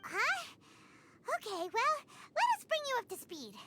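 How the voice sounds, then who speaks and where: A woman voicing a tiny excitable female companion sprite in a video game cutscene, with a squeaky, childlike voice and an eager, chatty delivery. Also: measured pace, slight background noise, full character voice. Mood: chirpy.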